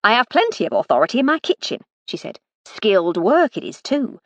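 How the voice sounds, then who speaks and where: A woman voicing a cheery, motherly older housekeeper-cook who is chatty and warmly bossy. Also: on the high side, wildly swooping pitch, brisk, no echo, dull audio, heavily acted.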